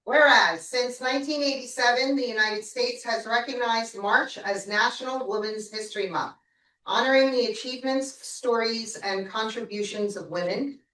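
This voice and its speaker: A woman's voice: on the high side, normal speed, fairly bright tone. A councilwoman reading a formal proclamation aloud at a council meeting, sometimes stumbling over words and her mic switched off. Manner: stumbles and apologizes mid-reading. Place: council chamber. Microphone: mic not switched on, picked up from a distance. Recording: echoey room from far off.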